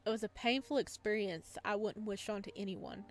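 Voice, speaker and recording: A woman reading a visual novel aloud as a let's player, expressive and now and then stumbling over the words. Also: medium pitch, moderate pace, soft background music. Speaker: video game let's player.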